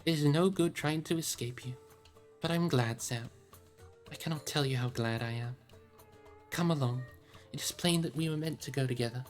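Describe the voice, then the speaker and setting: A reader voicing a gentle but resolute male hobbit gentleman in a storybook, well-spoken and fond of his servant. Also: medium pitch, moderate pace, pauses often, faint music behind, pitched above the performer's own voice, natural voice. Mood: fond, relieved.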